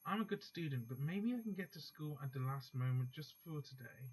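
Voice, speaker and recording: A young man reading visual novel text aloud for a playthrough video, halting now and then to check how to say a word. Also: fairly high pitch, animated, slightly rough, low-quality recording. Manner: halting read-aloud, stops to check pronunciation. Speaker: gaming YouTuber. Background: faint background music.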